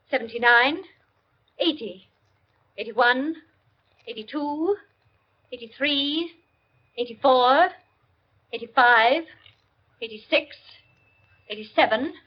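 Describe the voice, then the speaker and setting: An actress playing a poised society hostess in a 1940s radio drama, speaking in a deliberate, commanding, theatrical way. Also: female voice, high voice, breathy edge, full character voice. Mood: measured, tense. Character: poised society hostess, counting slowly aloud. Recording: dull, noisy vintage radio recording.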